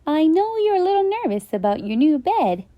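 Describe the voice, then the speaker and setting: A woman voicing a patient but firm mother at bedtime in a storybook reading, gently scolding. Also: high-pitched, very animated, a little fast, dull audio, voice pushed up.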